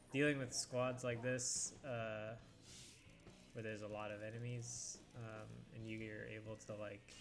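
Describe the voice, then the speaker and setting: A man's voice: on the low side, flat delivery, takes it slowly. A young man with a congested, scratchy throat, rambling through casual gameplay commentary with lots of fillers and occasional coughs. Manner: rambling, hesitant with many fillers. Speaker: let's play host. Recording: game music behind voice.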